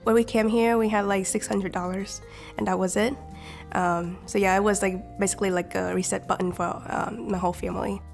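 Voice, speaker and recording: A woman's voice: medium pitch, steady tone, brisk, creaky. A woman in her twenties from Vietnam, a native Vietnamese speaker, talking casually in a documentary interview, with lots of likes and ums. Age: 20s. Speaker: scholarship recipient. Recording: light music behind.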